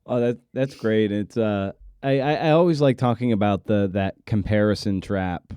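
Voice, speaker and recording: A man's voice: on the low side, normal speed, mellow, smooth, no room sound, clean studio sound. A man hosting a podcast, chatting casually as an interviewer.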